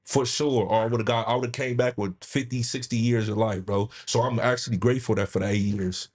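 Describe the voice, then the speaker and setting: A man's voice: medium pitch, fairly even intonation, fairly quick, a little mellow, breathy edge. A man telling his own life story with candor on a live show, often restarting and repeating his phrases. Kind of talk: candid personal storytelling. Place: studio with caller on line.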